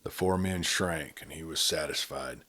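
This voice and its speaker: A man narrating a Western novel in a measured, quiet reading style. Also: very deep voice. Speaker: storyteller. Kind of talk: scripted story narration.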